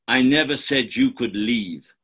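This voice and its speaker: A man playing a pompous, gloating gangster boss with a gravelly voice in a radio play. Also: medium pitch, a bit bright. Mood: smug, menacing. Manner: slow, grandiose, theatrical. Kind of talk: 1940s-style radio play acting.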